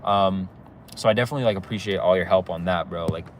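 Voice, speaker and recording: A man's voice: low voice, fast talker, slight background noise. A young man with a slight cold hosts a casual live stream outdoors on his phone, rambling and laughing easily with viewers. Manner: laid-back, rambling, full of "like" and "bro", laughs easily. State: has a slight cold, sniffly. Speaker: YouTuber hosting a live stream. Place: outdoors, across the street from a police station.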